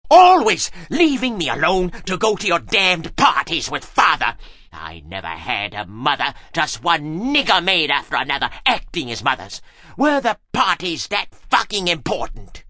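A man's voice: a little high, very animated, moderate pace, sharp tone, dry recording, pitched above the performer's own voice, exaggerated voice. A man voicing a petulant rich man who whines and rages like an aggrieved child in an audiobook. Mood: bitter rage. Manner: whiny, aggrieved, swearing outbursts. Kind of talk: audiobook character acting.

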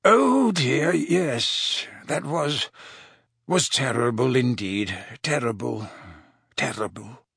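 A man's voice: mid-range voice, animated, takes it slowly, vocal fry, fairly bright tone, slightly rough, no echo, clean studio sound, full character voice. A man voicing a tipsy, weepy old male potions master who talks in an emotional, fretful way with hiccups. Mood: awkward, shaken. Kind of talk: audiobook character voice.

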